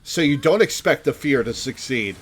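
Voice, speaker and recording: A man's voice: mid-range voice, expressive, moderate pace, slight background noise. A man streaming casual live reaction commentary as he watches game cutscenes.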